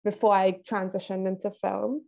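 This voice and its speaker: Creaky-voiced young woman from Trinidad. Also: mid-range voice, flat delivery, moderate pace, warm tone. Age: young adult. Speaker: film director being interviewed. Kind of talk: casual interview talk. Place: indoor room, video call. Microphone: wired earphones on a video call.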